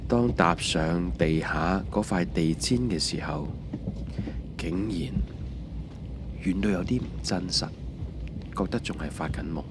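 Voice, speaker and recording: A middle-aged man with a Hong Kong Cantonese accent, playing a mild husband who narrates an audio drama in a reflective, unhurried way. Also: sits low, noisy background. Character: mild, bumbling husband narrating his family's emigration. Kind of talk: scripted first-person narration in an audio drama.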